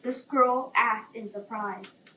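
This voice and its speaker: A woman's voice: on the high side, fairly even intonation, unhurried, pushed and bright. A woman narrating a children's bedtime story from a script. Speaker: storybook reader. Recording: roomy, distant, faint background noise.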